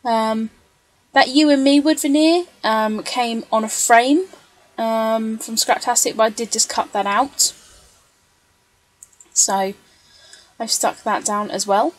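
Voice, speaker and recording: Chatty woman with a higher-pitched voice. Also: slow pace, pauses often, dry recording. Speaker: scrapbooker narrating craft video. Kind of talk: casual craft tutorial voice-over.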